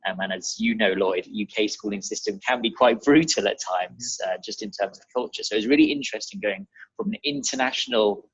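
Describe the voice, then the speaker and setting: Brief: young British male podcast guest, low husky voice, conversational, dull video-call sound. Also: lively intonation, fairly quick, no echo. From England and Germany. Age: young adult. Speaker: podcast guest, real estate advisor. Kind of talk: casual conversational interview. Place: bright room at home, indoors.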